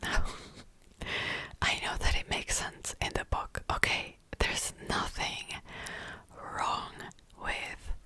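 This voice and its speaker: A woman's voice: drawn-out words, pushed and bright, no room sound. A young woman whispering a rambling, casual chat about books as an ASMR host, close to the mic, with many fillers. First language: Czech.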